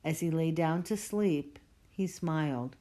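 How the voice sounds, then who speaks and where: A woman's voice: deep voice, a little slow, mellow. A woman reading a children's storybook aloud expressively, stretching out the spooky words for dramatic effect. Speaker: storybook reader.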